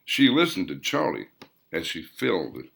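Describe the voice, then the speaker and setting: A man's voice: unhurried, creaky, slightly rough. A man narrating his own novel as an audiobook, telling it expressively. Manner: expressive storytelling with wide pitch swings. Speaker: author and narrator.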